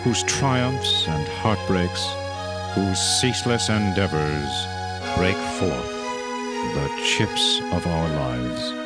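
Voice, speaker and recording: Guy doing grand soap narrator, deep and slow, solemn, loud music behind. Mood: solemn, grandiose. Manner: solemn, dramatic pauses. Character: grand, melodramatic male soap-opera narrator. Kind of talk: soap opera parody narration. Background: loud background music.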